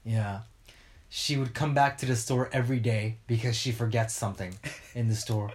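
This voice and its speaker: A man chatting casually and loudly, laughing easily, partly outdoors on a street. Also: medium pitch, moderate pace. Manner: loud, animated, laughs easily. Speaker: co-host. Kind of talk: casual chat.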